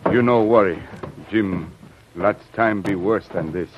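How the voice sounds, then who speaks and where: An actor playing a stoic, devoted manservant who speaks broken pidgin English in an old radio serial. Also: male voice, on the low side, a little slow, background noise, lightly acted. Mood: stoic, reassuring. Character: loyal native manservant, gruff, stoic, devoted to his master. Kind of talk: 1930s radio serial acting. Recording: dull old lo-fi radio recording.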